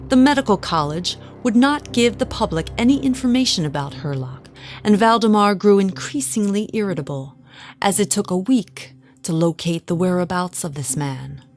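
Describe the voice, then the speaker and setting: An actress playing a resentful, well-to-do wife, narrating formally and dramatically in an audio drama. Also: female voice, mid-range voice, expressive, moderate pace, faint music behind, natural voice. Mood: composed. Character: resentful, well-to-do wife recounting her confession.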